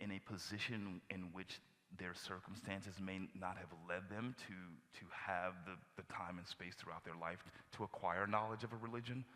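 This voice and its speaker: A man with a very low voice that still comes through bright and forceful, talking slowly and reflectively with many restarts. He trails into soft asides as he searches for words. His voice carries a long reverb from a large hall. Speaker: panel speaker who gives Friday sermons at a jail. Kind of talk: reflective panel talk. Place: church hall.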